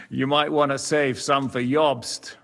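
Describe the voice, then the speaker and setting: An actor playing a blunt, wry former bandit in a medieval video game cutscene, speaking with frank, sardonic directness. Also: male voice, mid-range voice, steady tone, takes it slowly, creaky, a bit bright. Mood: amused. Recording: long hall reverb.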